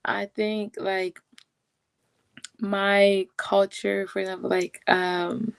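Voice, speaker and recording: Flat-voiced sixteen-year-old girl. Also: mid-range voice, vocal fry, clean tone, no echo. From Cameroon. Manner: halting, repeats words. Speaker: podcast guest. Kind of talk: casual interview answers.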